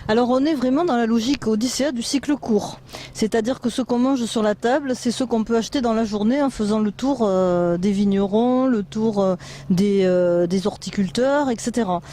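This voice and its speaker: An interviewer with a mellow, darker tone asks leading questions and adds commentary. A faint ambient hum runs underneath. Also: mid-range voice, normal speed.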